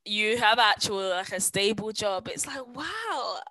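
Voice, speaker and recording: A woman, co-hosting a podcast in casual, slangy chat full of animated storytelling and quoted asides. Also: medium pitch, a little slow, no echo. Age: 20s. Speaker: podcast co-host.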